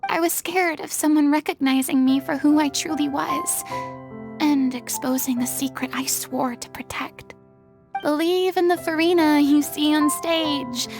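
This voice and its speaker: A woman voicing a lonely, remorseful young former goddess in a video game, speaking softly and confessionally, often near a whisper. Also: high voice, steady tone, measured pace. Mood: wistful. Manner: soft, confessional, often hushed. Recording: game audio with faint music.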